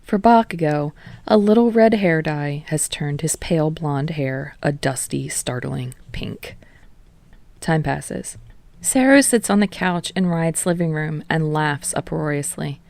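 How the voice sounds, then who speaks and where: A woman's voice: low-pitched, animated, measured pace, vocal fry, a little mellow, no room sound. A woman reading fiction aloud as scripted audiobook narration.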